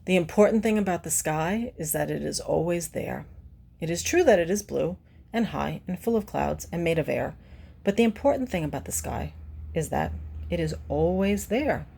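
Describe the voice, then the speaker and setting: A woman's voice: fairly low pitch, expressive, normal speed, vocal fry, warm tone, husky. A woman reading a picture book aloud to children, adding simple teacherly explanations and putting questions to the listener. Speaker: children's read-aloud presenter.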